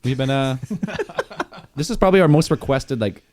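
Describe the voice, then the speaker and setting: A man's voice: medium pitch, expressive, normal speed, darkish tone, dry recording, studio quality. A man co-hosting a podcast, chatting casually and joking, swearing freely. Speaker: podcast co-host. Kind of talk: casual chat.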